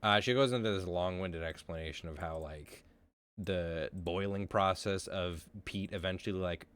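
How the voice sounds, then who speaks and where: A man's voice: sits low, moderate pace, a bit bright, clear, resonant tone. A man narrating as game master, rambling with fillers and casual swearing.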